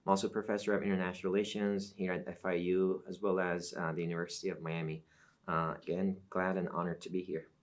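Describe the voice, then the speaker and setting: Deep-voiced man from Iran, measured. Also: level tone. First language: Azeri Turkish. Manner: measured, explanatory, frequent uh fillers. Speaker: interview guest, professor. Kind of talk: academic interview.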